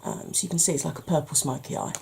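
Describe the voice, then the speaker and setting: A woman, hoarse from hay fever, chatting through a makeup tutorial step by step and speaking straight to her viewers, with frequent ums. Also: sits low, fairly even intonation, fast talker, darkish tone, slight background noise.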